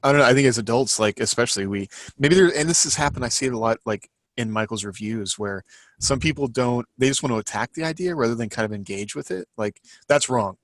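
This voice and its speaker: A man's voice: mid-range voice, quick pace, dry recording. A man talking casually as a podcast panelist, thinking aloud in a reflective, tentative way and rephrasing as he goes. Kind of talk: casual group discussion.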